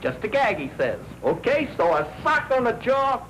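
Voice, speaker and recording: An American actor playing a blunt, griping, wisecracking press-room reporter in a 1940s crime film. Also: male voice, very high-pitched, very animated, moderate pace, fairly bright tone, faint music behind, exaggerated voice. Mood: mocking. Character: gruff, griping, slangy male press-room reporter, hostile to women. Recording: noisy old 1940s film soundtrack.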